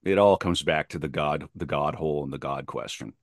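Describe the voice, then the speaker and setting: A man's voice: a bass voice, brisk, dry recording, studio quality. A man talking casually as a podcast guest, opinionated and emphatic, often repeating himself to press a point.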